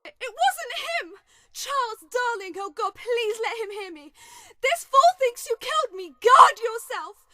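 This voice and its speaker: The anguished ghost of a devoted wife in a radio drama. Her voice is very high and sweeps widely in pitch, pleading and emotive, recorded close and dry. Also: animated, a little slow, bright, forceful tone, no room sound, full character voice. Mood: anguished fury. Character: dead wife's anguished, devoted, protective ghost. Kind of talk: radio play acting.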